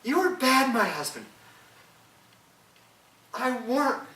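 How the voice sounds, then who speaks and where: Brief: a man putting on a young island wife, shrill and insistent, bright edge, echoey room from far off. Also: very animated, slow pace, exaggerated voice. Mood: grieving reproach. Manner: high sharp pleading voice.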